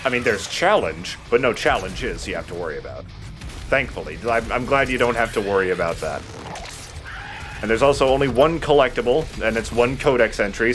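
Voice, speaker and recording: Low-ish guy doing casual let's play commentary, bit of game music behind. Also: fairly bright tone.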